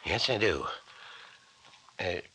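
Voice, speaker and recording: An actor with an American accent playing an excitable, nervous small-town doctor in an old-time radio mystery serial. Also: male voice, sits low, slow pace, slightly rough, exaggerated voice. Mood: confiding, suspicious. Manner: excitable, folksy exclamations. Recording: faintly noisy old-time radio recording.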